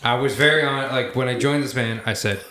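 Male podcast co-host, mid-pitched, rambling, self-deprecating, laughs often; roomy echo. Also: brisk, pushed and bright, slight background noise. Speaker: podcast co-host, band member. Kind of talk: casual chat. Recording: echoey room.

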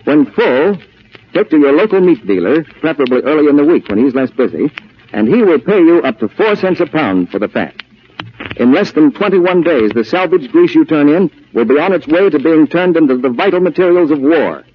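Male 1940s radio announcer, deliberate and earnest scripted appeal, muffled old recording. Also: on the high side, lively intonation, slight background noise.

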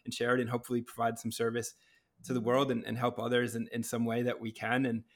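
Flat-toned man host, halting speech. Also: mid-range voice. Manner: soft-spoken, halting with repeated words. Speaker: podcast host. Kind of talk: conversational interview.

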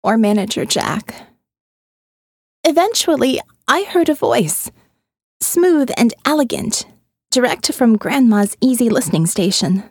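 A woman's voice: a little high, expressive, a little slow, husky, no room sound, clean studio sound. A woman reading a first-person audiobook in a wry, conversational way. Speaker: audiobook narrator.

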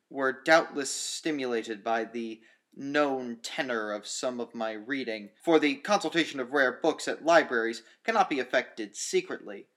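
Man reading classic horror fiction, mid-pitched. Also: a bit bright. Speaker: audiobook narrator. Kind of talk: scripted narration of a classic horror story.

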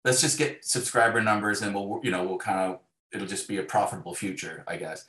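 A man with a low voice talks casually as a guest in an interview. His phrasing is halting and full of hedges, and the recording sounds quite roomy and echoey. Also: fairly even intonation, a bit bright. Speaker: guest, novelist.

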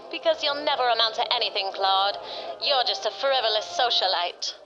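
Plucky dismissive lady reporter, played by a woman. Fairly high voice, brisk, muffled radio-play sound. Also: lively intonation, over music, close to an everyday voice. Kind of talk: comic superhero radio play. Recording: dull lo-fi audio.

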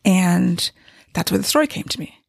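A woman with a tired, gravelly voice, pitched a bit low and husky from strain, rambles candidly through a writing update. She talks casually at home, and the sound is close and dry. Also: expressive, moderate pace, dry recording. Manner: candid and rambling, with light laughs. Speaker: novelist giving a writing update. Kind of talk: casual vlog monologue. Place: home with hardwood floors.

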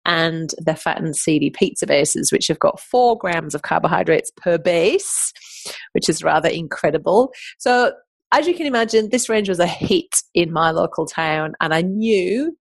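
A woman's voice: on the low side, lively intonation, normal speed, gravelly, no room sound. A middle-aged woman with an English accent, hosting a podcast in a chatty, enthusiastic monologue. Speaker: podcast host.

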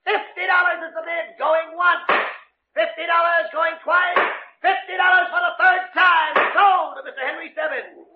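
A formal auctioneer in vintage radio drama, his voice pitched extremely high. He calls out briskly and declaims, in an echoey, slightly muffled old recording. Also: steady tone, over a noisy crowd, heavy background noise. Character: brisk, formal auctioneer working a sale room.

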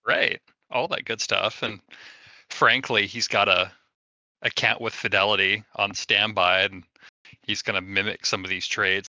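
A man's voice: lowish voice, steady tone, a little slow, sharp tone, no room sound, poor audio. A man chatting casually as a player in a tabletop roleplaying game.

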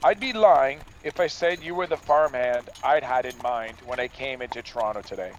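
An actor playing a stern, curt farmer who gives orders in a radio drama. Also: male voice, medium pitch, normal speed, highs cut off, a little hiss or hum, close to an everyday voice. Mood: stern, reserved. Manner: gruff, curt, commanding. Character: stern, demanding farmer and reluctant employer.

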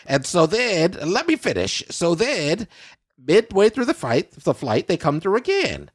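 A male podcast host in casual comedic banter, ranting with big theatrical swings of pitch. He leans on catchphrases like "okay?" and "I digress." The sound is close and dry. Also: wildly swooping pitch, unhurried, husky, dry recording.

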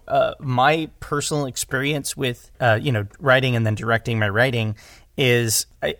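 Man, gravelly fry, close dry sound. Casual podcast talker with self-deprecating, animated asides. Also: medium pitch, no room sound. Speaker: podcast guest, audio drama writer and director. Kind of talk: casual conversational discussion.

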